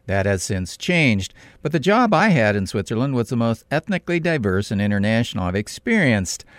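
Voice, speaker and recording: Lively, emphatic male radio commentator. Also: no room sound, studio quality. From California. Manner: deliberate, emphatic, with sudden pitch rises on key points.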